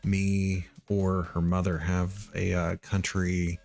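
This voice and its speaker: A middle-aged man from Missouri thinking aloud while he writes code, halting with many ums and uhs. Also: sits low, completely flat delivery, vocal fry, a little mellow, soft background music. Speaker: live-coding streamer.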